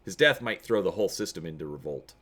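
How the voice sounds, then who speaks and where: A man giving a dramatic narrated reading of an adventure story, expressive in his storytelling. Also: deep voice, fast. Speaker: reader and host of a gamebook read-through.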